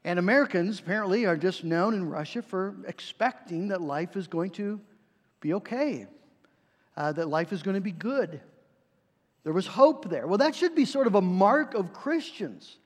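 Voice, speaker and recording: A man's voice: sits high, animated, moderate pace. A man preaching a sermon in a conversational way, with frequent fillers and restarts. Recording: reverberant hall.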